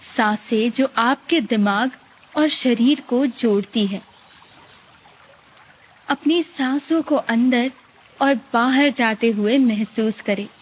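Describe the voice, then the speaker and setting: A woman guides a meditation, giving calm, gentle instructions with long pauses. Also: on the high side.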